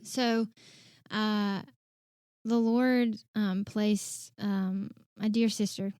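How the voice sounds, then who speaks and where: Flat-voiced woman, earnest and hesitant. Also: medium pitch, extremely slow, smooth, no echo, clean studio sound. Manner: earnest, many hesitant fillers. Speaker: church outreach director. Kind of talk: casual conversation.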